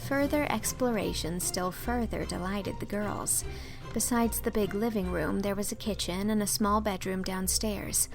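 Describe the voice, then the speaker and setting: Female literary narrator; medium-pitched with a touch of fry, scripted audiobook read. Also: normal speed, over music.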